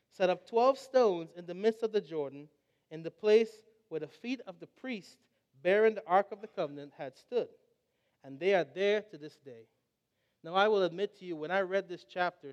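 A man's voice: high voice, in a large hall. A young adult man from Trinidad and Tobago preaching a sermon, earnest and reflective as he tells stories.

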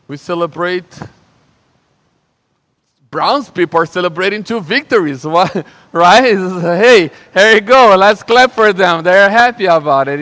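A young man from Brazil with a Brazilian Portuguese accent, preaching a sermon in a lively, conversational way and often checking in with "right?". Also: high-pitched. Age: young adult. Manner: animated and conversational, with frequent restarts and "right?" checks. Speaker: pastor.

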